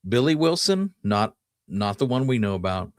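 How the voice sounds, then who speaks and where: A man co-hosting a talk show, telling history conversationally and pausing now and then to recall details. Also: mid-range voice, very animated, no echo, studio quality. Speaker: podcast co-host.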